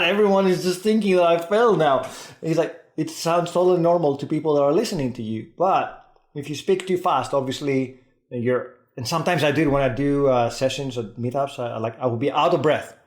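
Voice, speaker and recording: A man from Greece with a Greek and Scottish accent, talking fast and eagerly as a podcast guest, often restarting his sentences. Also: a little high, echoey room. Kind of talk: casual podcast conversation.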